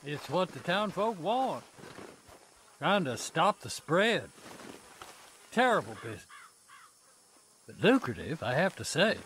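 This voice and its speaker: A high, slow-drawling old undertaker from a video game. He is folksy and morbid with a twang, and his pitch swoops widely as he talks. There is slight noise behind him. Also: sing-song, fairly bright tone, exaggerated voice. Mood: matter-of-fact. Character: folksy, morbid old frontier undertaker, wary but mercenary. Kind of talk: western video game acting.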